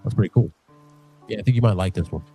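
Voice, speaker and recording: A man's voice: fairly low pitch, fast, dark tone, soft background music. A man hosting a podcast in casual chat, loose and upbeat, swearing freely. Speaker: podcast host.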